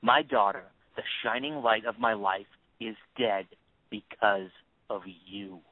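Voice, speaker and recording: A man acting in an audio play as a bitter grieving father turned cold avenger, accusing and sarcastic in a deliberate, commanding way. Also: lowish voice, no room sound. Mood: bitter, anguished. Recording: tinny phone-like band.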